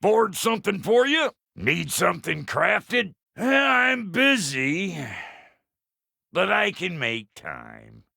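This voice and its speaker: Gruff American dwarf blacksmith for a game: high-set, sharp, rough voice, foul-mouthed and cocky, folksy drawn-out delivery. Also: male voice, wildly swooping pitch, dry recording, studio quality, heavily acted. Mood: gruff, grumbling. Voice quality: gravelly, rough. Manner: brash, folksy, profane. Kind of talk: video game character voice lines.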